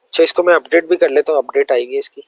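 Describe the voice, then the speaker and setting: A man's voice: a little high, fast talker, darkish tone, dry recording. A man with a sore throat, giving a loose, conversational screen-share lesson full of fillers. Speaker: web development tutor.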